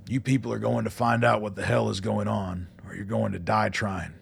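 An actor playing a gruff, embattled military colonel in a radio drama, blunt, dry and commanding. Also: male voice, lowish voice, flat delivery, normal speed, a little hiss or hum. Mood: commanding, resolute.

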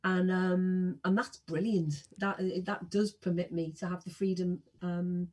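Sixties woman, husky voice, warmly enthusiastic guest who often restarts her sentences. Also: on the low side, flat delivery, measured pace. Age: 60s. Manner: enthusiastic, self-deprecating, frequent restarts. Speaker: podcast guest, singer. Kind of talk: casual interview chat.

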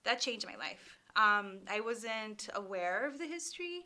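Bright-voiced woman. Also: on the high side, expressive, measured pace. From Los Angeles, California, USA. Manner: candid, with fillers and restarts. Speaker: guest, PhD candidate. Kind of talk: casual interview storytelling.

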